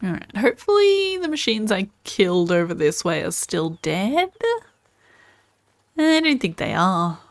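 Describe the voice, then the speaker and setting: A woman's voice: medium pitch, big pitch swings, unhurried, no room sound. An Australian woman chatting casually while streaming gameplay and answering viewers' comments.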